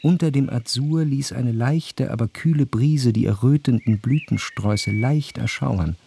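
An actor playing a nostalgic gentleman narrator in a literary radio drama, recalling his past in contemplative, lyrical, measured phrases. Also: medium pitch, creaky. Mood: wistful, contemplative. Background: faint instrumental music.

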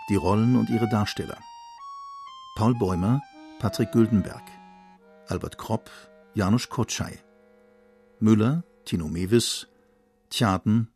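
A man's voice: lowish voice, a little mellow. A man reading a scripted radio drama announcement in a formal, clipped manner. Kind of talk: scripted announcement of title and cast.

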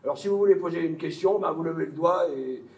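Brash army interrogator played by a man, theatrical, sardonic and bullying, fry in the voice, heard from a distance. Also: fairly high pitch, fast talker, a little mellow, natural voice. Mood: domineering. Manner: blunt, sarcastic, colloquial, swearing. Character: brash, coarse, domineering American army major, interrogator. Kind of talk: theatrical French stage acting. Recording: distant echoey stage pickup.

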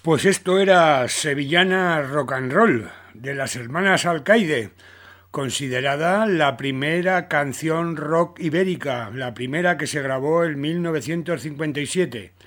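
A man's voice: fairly high pitch, unhurried, a bit bright. Spanish male radio music host.

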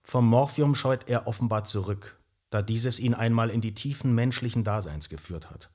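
An actor playing a detached psychiatry professor, formally reading out a clinical report in a documentary. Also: male voice, mid-range voice, normal speed, a little mellow, natural voice. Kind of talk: dramatised reading of a medical report.

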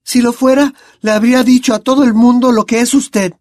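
A man voicing a frantic, clever teenage witch girl who cries out in high, shrill accusations. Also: steady tone, fairly quick, a little mellow, dry recording, studio quality, lightly acted. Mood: tense.

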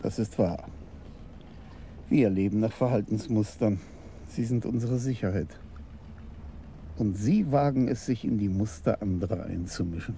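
An actor playing a principled police commissioner in a German radio crime drama, questioning in a measured, terse way. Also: male voice, on the low side, mellow. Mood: grave, disapproving. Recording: clearly noisy 1980s radio drama recording.

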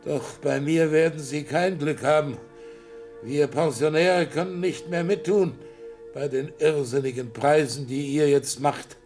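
An actor playing a proud, bitter old blind collector. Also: male voice, a little high, fairly even intonation, unhurried, soft background music, close to an everyday voice. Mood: jovial suspicious. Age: older. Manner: boisterous, scornful outbursts swinging to hushed intensity. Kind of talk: radio play acting.

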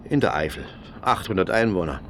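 A man's voice: deep voice, big pitch swings, a little fast, a little hiss or hum. A man acting a reserved, polite small-town shopkeeper in a German radio play, hesitant and understated. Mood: reserved, matter-of-fact. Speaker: radio drama actor.

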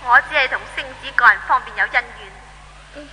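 Unforgiving female ghost, high voice, long eerie reverb, Hong Kong Cantonese, theatrical accusing delivery, 1970s radio drama. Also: fairly quick, bright, forceful tone. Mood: bitter, accusing. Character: vengeful female ghost, wronged, fierce and unforgiving.

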